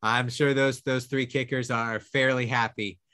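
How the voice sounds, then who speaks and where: A man's voice: mid-range voice, flat delivery, a little slow, sharp tone, smooth, no echo. Young male host, dry close sound. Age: young adult. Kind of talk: casual hosting of a student Q&A. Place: small indoor studio office.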